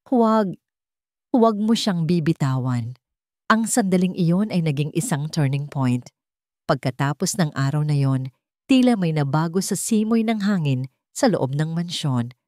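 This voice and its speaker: A woman narrating a scripted love story in a sentimental, dramatic manner with deliberate phrasing. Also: lowish voice, darkish tone, no echo, clean studio sound.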